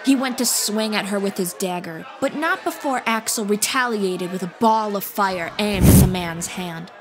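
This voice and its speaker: A woman's voice: medium pitch, normal speed, noisy background. A woman reading scripted fantasy audiobook narration as the storyteller. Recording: music bed behind voice.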